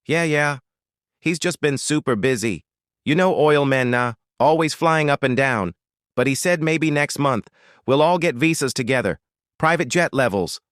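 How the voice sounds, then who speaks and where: A man's voice: fairly high pitch, animated, normal speed, a bit bright, no echo, studio quality, pitched above the performer's own voice. A man with a Nigerian accent voicing a boastful, image-obsessed young female student in a storytelling, with showy slang. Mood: forced breezy.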